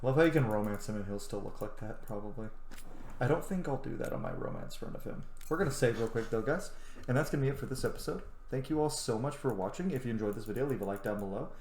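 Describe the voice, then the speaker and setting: A man in his thirties chats his way through a video game as a YouTuber. His pitch is average and his delivery casual, as if thinking aloud. The room echoes a little, with faint noise behind him. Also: fast talker, darkish tone. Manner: chatty, talks to himself and to game characters. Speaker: gaming YouTuber, player. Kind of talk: casual let's-play commentary. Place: small indoor room at home. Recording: roomy sound with faint background noise.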